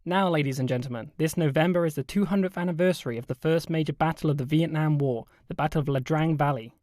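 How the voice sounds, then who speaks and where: A man voicing an excitable air show announcer in an audio story, showy and projected, hyping up a crowd. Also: a little high, fast talker, no echo, studio quality, lightly acted. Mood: hyped.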